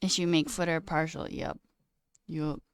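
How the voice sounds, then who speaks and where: A woman's voice: deep voice, level tone, measured pace, clean tone, no room sound, studio quality. A young woman with a slight sore throat, casually chatting on a live coding stream, reading chat aloud and muttering at her code. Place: small room indoors.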